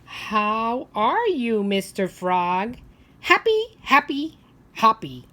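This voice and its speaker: A woman's voice: a little high, sing-song, extremely slow, bright, forceful tone, full character voice. A woman voicing a cheerful, playful little boy in a children's picture book, with bouncy, sing-song exclamations. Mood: happy, excited.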